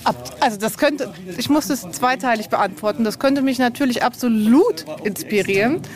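A woman with a fairly high-pitched voice speaks as a guest in a casual interview. She thinks aloud, restarting and repeating words in an unscripted, conversational flow. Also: normal speed. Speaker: novelist, guest. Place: on location at an old castle.

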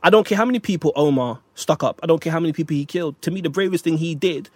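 A man's voice: fairly high pitch, racing, darkish tone, husky, dry recording. A man co-hosting a casual talk, reflective and repeating phrases as he thinks. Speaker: podcast co-host. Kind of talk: casual chat.

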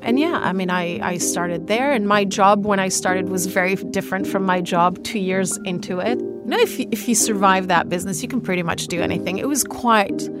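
A woman's voice: mid-range voice, brisk, hardly any pauses, over loud music. A woman in her thirties with a Lebanese accent, speaking as an interview guest, reflective and full of restarts. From Algeria. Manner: reflective, many fillers and restarts. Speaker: guest, fashion founder. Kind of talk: conversational interview.

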